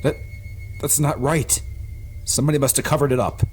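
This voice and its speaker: A man's voice: mid-range voice, steady tone, a little fast, darkish tone, natural voice. A man playing a desperate, stubborn young man in an amateur radio play, pleading and stammering. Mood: disbelieving. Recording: faint music behind the voice.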